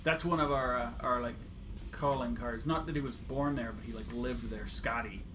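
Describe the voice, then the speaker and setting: A man's voice: mid-range voice, steady tone, moderate pace, a bit bright. Rambling man streamer musing aloud. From Canada. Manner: rambling, trails off, restarts, chuckles. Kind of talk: casual gameplay commentary. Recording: home stream with background noise.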